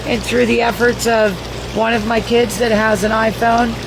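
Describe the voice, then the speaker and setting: A hoarse woman hosting a livestream, rambling and cursing as she recounts events and reads viewer comments. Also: a little high, level tone, normal speed. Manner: rambling, profane, exclamatory. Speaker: livestream host. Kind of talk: casual livestream recap reading viewer comments. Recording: noisy background.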